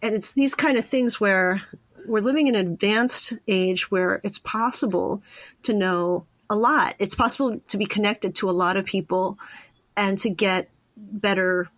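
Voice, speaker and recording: A woman's voice: medium pitch, measured pace, dry recording. A woman hosting a podcast interview, speaking casually and stressing her points by repeating phrases.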